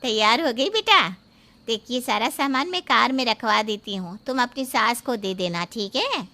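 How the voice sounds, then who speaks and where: A woman's voice: fairly high pitch, animated, normal speed, background noise. A woman playing an anxious, appeasing middle-aged mother in an audio story, coaxing and cautioning. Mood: gentle. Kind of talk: dramatised Hindi story acting.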